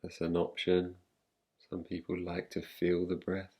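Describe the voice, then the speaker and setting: A man guiding a meditation in a calm, gentle, unhurried manner, leaving long pauses between phrases. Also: low-pitched. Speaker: meditation guide. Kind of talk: guided meditation, yoga nidra.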